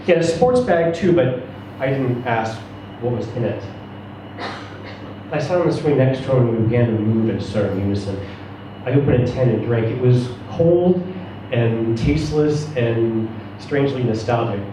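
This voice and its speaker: A man's voice: mid-range voice, normal speed. A man giving a dramatic reading of a first-person short story. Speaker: story reader at a live reading. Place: echoey room, away from the mic. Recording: roomy, echoing, distant mic, faint noise.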